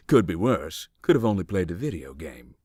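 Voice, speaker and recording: An actor playing a dry, sardonic man who believes in ghosts, speaking deadpan in game dialogue. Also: low voice, a little slow, slightly rough, no room sound, heavily acted.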